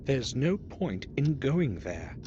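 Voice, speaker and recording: Game role: observant protagonist, measured, mid-pitched, muffled and noisy recording. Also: male voice, sing-song, dark tone. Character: protagonist observing an old castle aloud. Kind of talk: scripted video game narration.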